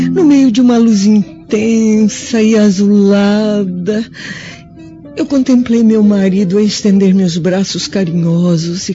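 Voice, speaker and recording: An actress with a Brazilian accent playing a dying, remorseful Roman widow in a radio drama, speaking formally and sorrowfully. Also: female voice, mid-range voice, mellow, lightly acted. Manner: slow, formal, sorrowful, theatrical. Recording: dull lo-fi audio with music behind.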